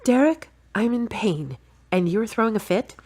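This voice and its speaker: A woman playing a frank, slightly bossy and stubborn girlfriend in an audio drama, brisk and matter-of-fact. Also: on the high side, lively intonation, darkish tone. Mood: exasperated, defensive. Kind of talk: acted audio drama dialogue.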